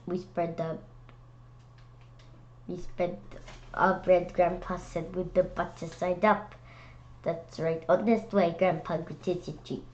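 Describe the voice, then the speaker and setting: A woman reading a storybook aloud with casual asides, in a halting way, stumbling over and repeating words. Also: fairly low pitch, level tone, darkish tone, highs cut off, a little hiss or hum. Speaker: read-aloud presenter.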